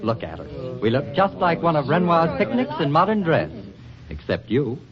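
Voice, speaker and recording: A charming, effusive bachelor with hugely swooping intonation and a slightly high voice. He flatters poetically in 1940s radio style, on a dull old recording. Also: moderate pace, a crowd in the background. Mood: charmed. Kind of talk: 1940s radio drama acting.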